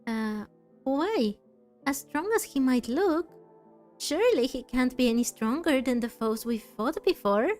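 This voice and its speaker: A woman streaming a game playthrough, reading dialogue aloud and musing on it thoughtfully, with pauses mid-phrase. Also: high voice, lively intonation, darkish tone. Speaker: gaming streamer. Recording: dry, faint game music behind.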